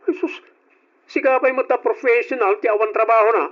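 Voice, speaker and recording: A bossy young elder brother. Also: male voice, very high voice, steady tone, a little fast. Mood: scolding. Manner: lecturing, scolding. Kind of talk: old radio drama acting. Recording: dull old radio recording.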